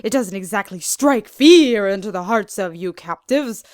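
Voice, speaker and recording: A woman's voice: medium pitch, animated, a little mellow, no echo, studio quality, lightly acted. A woman voicing a touchy, pompous male villain speaking from a screen. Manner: slow, drawn-out, self-important delivery.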